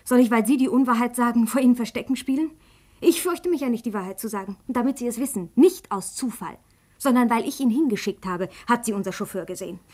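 An actress playing a sharp, wounded, imperious young woman with a cutting, reproachful manner in a German radio drama. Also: a little high, fast, natural voice. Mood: indignant.

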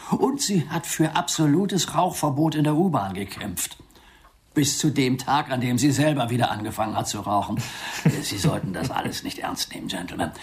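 A genteel London theatre agent in a radio drama, acted by a man. He has a medium-pitched male voice and speaks in polite, wordy, old-fashioned phrases, easily flustered and loyal to his clients. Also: animated, moderate pace, close to an everyday voice. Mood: pleading, affectionate. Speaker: actor in a radio play. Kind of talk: German radio drama acting.

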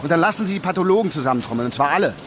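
An actor playing a brisk, commanding male police commissioner in a radio drama, curt and authoritative. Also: fairly high pitch, expressive, a loud crowd, close to an everyday voice. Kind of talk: German radio drama acting.

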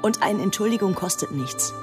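A woman's voice: medium pitch, animated, moderate pace, over music, lightly acted. A woman playing a feisty young deli counter girl in a radio drama, scolding with firm reproach. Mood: indignant, scolding.

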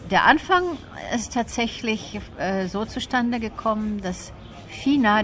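A woman guest in a radio interview, explaining things in a thoughtful, detailed way. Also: fairly low pitch. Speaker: interview guest, literary event organiser.

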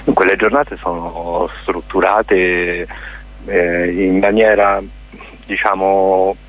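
A man's voice: sits low, little pitch movement, slow pace, a bit bright, low-quality recording. A man answering as a festival director in a radio interview, discursive and hesitant as he searches for words.

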